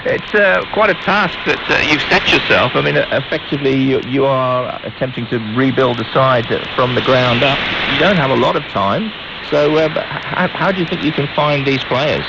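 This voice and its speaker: A man's voice: mid-range voice, moderate pace, vocal fry, hardly any pauses. A man presenting a live radio magazine show in a conversational, hesitant way. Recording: noisy, dull shortwave radio reception.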